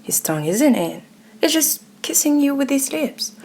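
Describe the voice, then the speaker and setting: A woman's voice: sits high, very animated, moderate pace, vocal fry, darkish tone. A woman narrating a scripted ASMR roleplay story, addressing the listener directly. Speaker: narrator and voice actor.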